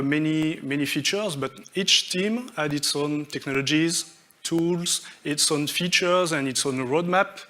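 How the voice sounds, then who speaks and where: A man speaks from a conference stage, his voice sitting a little on the high side. He moves through his points one by one, stopping often on fillers and restarting his sentences. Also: pushed and bright. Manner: halting, many fillers and restarts, listing points. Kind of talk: tech conference talk.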